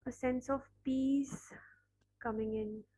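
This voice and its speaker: A woman leading a guided meditation, speaking softly with long pauses between phrases. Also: sits high, steady tone, slight background noise. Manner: slow, soft, pausing between phrases.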